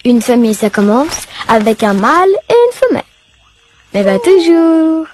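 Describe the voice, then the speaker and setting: A woman reading scripted educational narration in a measured, didactic way. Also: sits high, big pitch swings, a little mellow, a little hiss or hum. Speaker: documentary narrator. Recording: music clearly behind the voice. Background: music.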